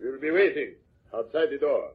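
An actor playing an imperious, villainous foreign count who barks commands in a 1940s radio serial. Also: male voice, medium pitch, expressive, moderate pace, lightly acted. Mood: impatient, cold. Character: furious villainous count, scheming foreign conspirator. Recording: dull, noisy old radio recording.

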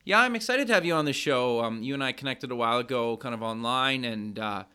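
A man's voice: mid-range voice, animated, fast, bright, forceful tone, clean tone. A man hosting an interview podcast, chatty and upbeat, with many fillers and restarts. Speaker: podcast host.

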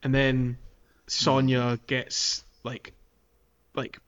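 A man co-hosting a casual gaming podcast, recapping in a halting, stammering way with frequent false starts. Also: mid-range voice, completely flat delivery, a bit bright, clean tone, muffled recording. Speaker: podcast co-host. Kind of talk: casual game recap chat.

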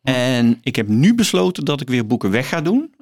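A man talking as an interview guest, haltingly, repeating words as he searches for his phrasing. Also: mid-range voice, big pitch swings. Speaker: guest, philosophy professor. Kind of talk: conversational book interview.

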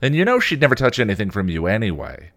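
A man's voice: on the low side, sing-song, fast, fairly bright tone, pitched above the performer's own voice. Man putting on a snarky, swooping teenage girl. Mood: scornful. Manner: scoffing, sarcastic, dramatic emphasis. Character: snarky, haughty teenage girl, defiant little sister.

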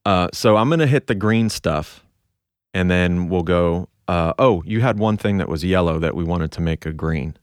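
A man's voice: sits low, normal speed, smooth, no room sound, clean studio sound. A man hosting a podcast in a casual, informal, conversational style. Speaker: podcast co-host.

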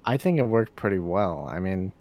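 A man with a very low voice talking through his take on a film. He rambles in a relaxed way and swears casually between thoughts. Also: normal speed. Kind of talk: casual chat.

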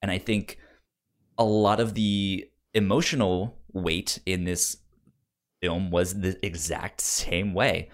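A man in a casual film debate as a co-host, talking haltingly and often stammering and repeating words. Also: low-pitched, steady tone.

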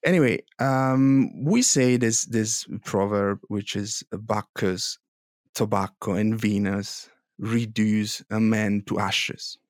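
A man with an Italian accent, co-hosting a news podcast in a halting way, with many restarts and fillers. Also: on the low side, steady tone, no room sound, clean studio sound. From Italy. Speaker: podcast co-host. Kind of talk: casual policy news chat.

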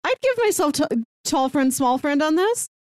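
A woman's voice: high voice, big pitch swings, moderate pace, darkish tone, no echo, clean studio sound. A woman co-hosting a podcast in a casual, conversational film review chat. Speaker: podcast co-host.

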